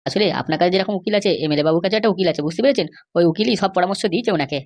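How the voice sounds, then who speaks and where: A woman's voice: lowish voice, very fast, darkish tone. Woman as a sly, rattling cartoon lackey. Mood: dismissive, insistent. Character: sly male cartoon schemer and bribe-seeking jail constable. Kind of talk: amateur cartoon comedy dubbing.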